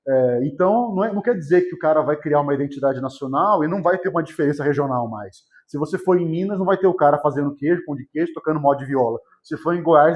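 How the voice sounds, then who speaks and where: A cram-course classroom where a Brazilian man from São Paulo state, voice a little on the high side, explains things casually and keeps checking that students follow. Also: lo-fi sound. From São José dos Campos, Vale do Paraíba, Brazil. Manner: colloquial, often checking in with short tag questions. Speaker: history teacher. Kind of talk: informal exam-prep lecture.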